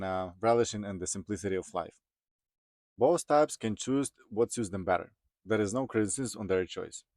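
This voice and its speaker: A man's voice: lowish voice, darkish tone, dry recording, studio quality. A man in his thirties from Ukraine with a Ukrainian accent, telling his personal story to viewers as a vlogger. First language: Ukrainian or other non-English. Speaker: vlogger, truck driver.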